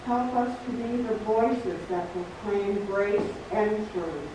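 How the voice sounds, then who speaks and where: An older woman reads a candle-lighting liturgy and prayer in a very slow, solemn way. Her voice echoes heavily around a small church. It comes from a distance, with background noise. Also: mid-range voice. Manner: measured and solemn. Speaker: Advent reader. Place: small church sanctuary, standing at the front. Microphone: stand mic, far camera.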